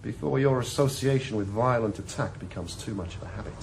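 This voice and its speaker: A man's voice: mid-range voice, brisk, creaky, a little mellow, slight background noise, natural voice. A man playing a menacing male chief inspector, speaking in a cold, threatening way. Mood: sneering. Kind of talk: 1980s radio drama acting.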